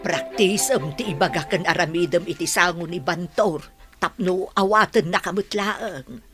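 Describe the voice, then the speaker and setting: A woman's voice: fairly low pitch, normal speed, fairly bright tone, soft background music, lightly acted. A woman with an Ilocano accent acting a scheming, doting village mother in a radio drama, coaxing and emphatic. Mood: coaxing, urging.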